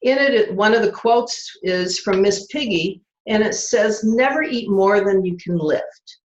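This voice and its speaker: A woman's voice: medium pitch, unhurried, slight background noise. A woman leading a club segment on an online call, cheerful, reading out quotes and laughing easily. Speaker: table topics master. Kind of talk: hosting a club meeting segment. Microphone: online video call. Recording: echoey, dull call audio.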